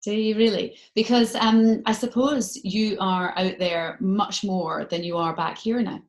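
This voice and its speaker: British female radio interviewer, medium pitch, chatty and gushing, informal video-call style. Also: steady tone, a little slow. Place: remote video call in London.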